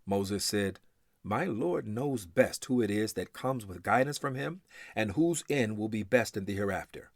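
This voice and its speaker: A man reading scripture aloud in a solemn, formal and measured recitation style. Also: lowish voice, lively intonation, no echo, studio quality. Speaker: scripture reader.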